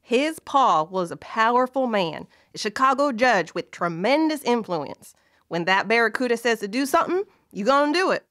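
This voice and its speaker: A woman's voice: a little high, expressive, moderate pace, fairly bright tone. A woman playing a folksy, dramatic Old West narrator in an audio play. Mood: folksy, wry.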